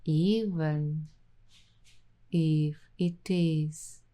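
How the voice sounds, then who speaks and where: Female ASMR host, whispery and soft, slightly low voice, dreamy and intimate delivery. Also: fairly even intonation. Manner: slow, dreamy, intimate, addressing the listener directly. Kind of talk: soft-spoken ASMR roleplay.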